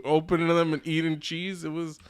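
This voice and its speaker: A man's voice: fairly high pitch, flat delivery, a little fast, smooth. A man co-hosting a podcast in casual group chat, giving emphatic opinions and swearing freely. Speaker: podcast co-host.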